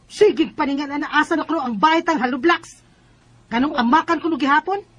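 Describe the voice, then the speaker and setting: A woman's voice: high-pitched, brisk, fairly bright tone. A woman acting a curt, evasive mother and scheming wife in a Filipino radio drama, with a Bisaya accent. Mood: irritated, defiant. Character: evasive, scheming mother, unfaithful wife planning to work abroad.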